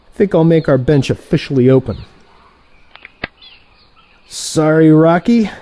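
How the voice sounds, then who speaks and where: A man's voice: fairly high pitch, animated, unhurried, dark tone, slight background noise. An actor playing a cocky younger male assassin who banters with teasing sarcasm in a comic audio play.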